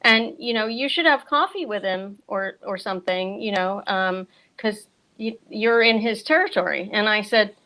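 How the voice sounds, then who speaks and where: A woman's voice: medium pitch, normal speed, sharp tone. A middle-aged American woman chatting casually as a guest bookseller and author, telling things in a chatty way with casual asides. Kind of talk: casual chat on a virtual event.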